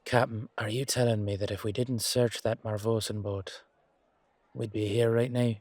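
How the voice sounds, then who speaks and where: A man playing a weary, down-to-earth knight guardsman in a fantasy audio drama, musing colloquially to his partner with hesitant pauses. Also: fairly low pitch, little pitch movement, a little mellow, dry recording, close to an everyday voice. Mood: thoughtful, suspicious. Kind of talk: roleplay audio drama monologue addressed to a partner.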